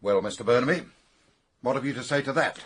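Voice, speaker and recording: An actor playing a brisk, sceptical British police investigator in a radio mystery, formal and direct. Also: male voice, medium pitch, fairly bright tone, slightly rough. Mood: stern.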